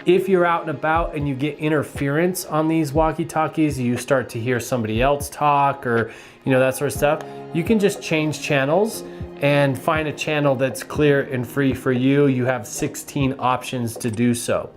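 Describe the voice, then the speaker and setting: A man's voice: mid-range voice, moderate pace, soft background music. A man giving a casual, hands-on product review in a plain-spoken, explanatory way.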